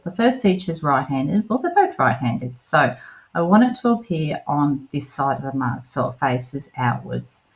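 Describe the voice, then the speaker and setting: Woman, craft vlogger, relaxed chatty voiceover, slightly low voice, friendly asides to viewers. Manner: chatty, addresses viewers as "lovelies". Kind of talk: casual voiceover narration of crafting.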